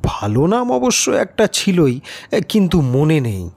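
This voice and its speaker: A man narrating a scripted literary story as an audiobook, with expressive storytelling delivery. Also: fairly high pitch, unhurried, creaky. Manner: expressive storytelling, acts out characters' lines.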